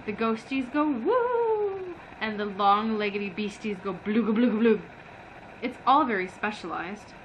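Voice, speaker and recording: A woman's voice: lively intonation, measured pace. A woman reading from a book expressively, as a book reviewer acting out the dialogue. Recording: noisy, rumbling background ambience.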